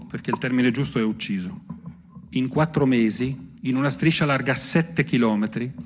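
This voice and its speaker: A man giving an analytical political speech at a live event, deliberate and factual with emphasis on key words. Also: medium pitch. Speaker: speaker at a benefit event for Gaza. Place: live public event, speaking to an audience.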